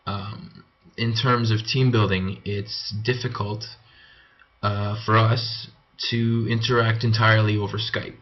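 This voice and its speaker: Low-voiced young Canadian man, muffled sound. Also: level tone, slow pace. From Canada (Montreal). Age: young adult. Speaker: MBA student presenting a team report. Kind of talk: informal presentation.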